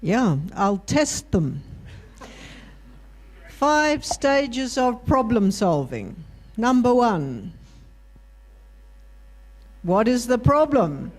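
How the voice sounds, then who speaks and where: Female co-facilitator, slow measured pace, step-by-step, prompts the group. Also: fairly high pitch, very animated. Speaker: workshop co-facilitator. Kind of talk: interactive workshop teaching. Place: university hall workshop.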